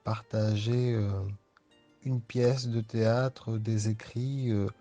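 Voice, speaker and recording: A man's voice: lowish voice, flat delivery, slow, warm tone. A man hosting an interview, thanking the guest and prompting him in a friendly, casual way. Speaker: interviewer, host. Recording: dull lo-fi sound with faint music.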